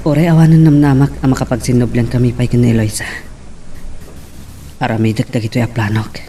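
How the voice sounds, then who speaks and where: An actor with a Filipino accent playing a skeptical, scheming uncle in an audio drama, speaking deliberately. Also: male voice, mid-range voice, fairly even intonation, warm tone, lightly acted. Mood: brooding, scheming. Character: skeptical, scheming uncle absorbed in local politics. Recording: noticeable background noise.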